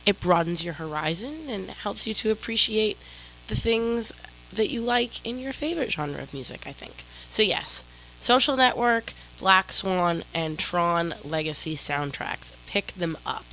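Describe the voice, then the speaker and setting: A woman's voice: medium pitch, lively intonation, unhurried, bright, forceful tone, low-quality recording. A woman hosting her own music radio show, talking casually and a little rambling, with a stuffed-up nose from a cold and static on her home mic. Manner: rambling, apologetic, self-deprecating. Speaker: radio DJ host. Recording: static-filled home mic with faint noise.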